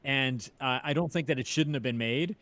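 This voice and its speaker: A man with a medium-pitched voice talks as a co-host. He chats casually over a group video call from indoors. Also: moderate pace, sharp tone, a little hiss or hum. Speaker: interview show co-host. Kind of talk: casual chat.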